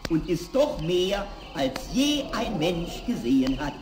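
High-pitched little devil. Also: male voice, sing-song, slow, roomy sound, over music, full character voice. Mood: sly, boastful. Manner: theatrical, gloating. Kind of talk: 1960s German fairy-tale radio play acting.

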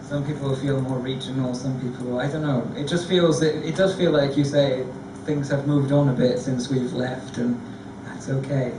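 A man on a discussion panel, telling a lively, self-deprecating story. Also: mid-range voice, moderate pace, hardly any pauses, far from the mic, background noise. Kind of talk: anecdotal storytelling. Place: large theatre stage before an audience. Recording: echoey hall recorded from afar.

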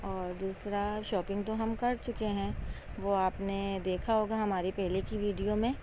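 A woman's voice: medium pitch, flat delivery, measured pace, warm tone. A woman casually narrating a vlog as she goes about. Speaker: vlogger, mother. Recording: clear outdoor street and vehicle noise.

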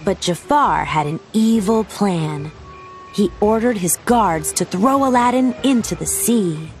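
A woman reading a children's storybook aloud as narrator, with expressive scripted storytelling delivery. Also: mid-range voice, measured pace. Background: background music.

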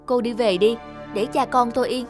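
A woman's voice: on the high side, fairly even intonation, moderate pace, close to an everyday voice. A woman voicing a stubborn, jealous little schoolgirl in a cartoon, pleading and sulky. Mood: defiant anger. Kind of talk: cartoon dub acting. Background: music.

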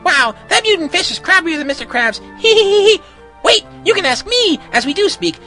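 Casting: a boyish cartoon sponge, very high and grating, giggly, overeager, over light music. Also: male voice, very animated, fairly quick, sharp tone, exaggerated voice. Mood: gleeful.

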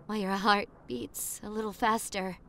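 Woman playing a slow-talking popular girl, ghost-story ASMR. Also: mid-range voice, fairly even intonation, gravelly. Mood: intrigued, mysterious. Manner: slow, teasing, suspenseful. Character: popular girl telling ghost stories to secret crush on date. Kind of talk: roleplay ASMR, hushed dramatic storytelling.

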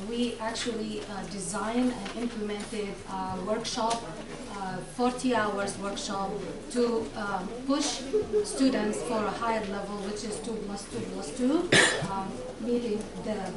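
Woman presenter, slightly high voice, hesitant with many fillers, distant echoey room, audience murmur. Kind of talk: conference presentation. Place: large lecture room with audience. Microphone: far camera. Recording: echoey room, recorded from far, audience noise.

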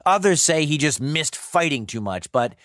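A man's voice: a little high, lively intonation, moderate pace, fairly bright tone, no room sound, studio quality. A man telling a scripted story for children in a conversational, dramatic way with wry, casual asides. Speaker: storyteller, podcast host.

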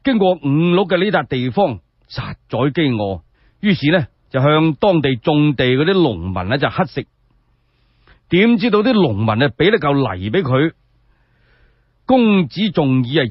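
Animated husky Cantonese storyteller, a man. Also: fairly high pitch, no echo. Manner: animated, colloquial, drawn-out phrasing with rhetorical questions. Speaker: solo storyteller. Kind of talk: dramatic traditional radio storytelling. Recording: dull lo-fi sound.

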